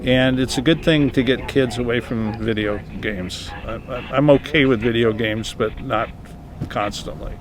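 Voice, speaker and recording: A man's voice: mid-range voice, normal speed, a crowd in the background, low-quality recording. A man in his seventies speaking casually in an interview as a radio volunteer. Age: 70s.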